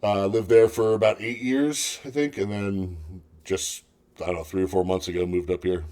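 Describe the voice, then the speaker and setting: A man's voice: fairly low pitch, steady tone, moderate pace, darkish tone. A man in his forties with a Californian accent, chatting casually as a podcast guest, with dry, self-deprecating jokes. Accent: Californian American. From San Jose, California, USA. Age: 40s. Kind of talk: casual chat.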